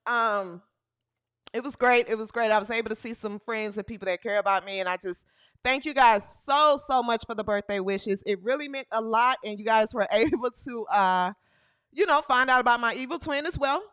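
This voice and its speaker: Female host, 30s, bright and sharp tone, playful live-stream energy with shouted bursts. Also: on the high side, normal speed, clear, resonant tone. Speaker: podcast host. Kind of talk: live-stream sports talk chat.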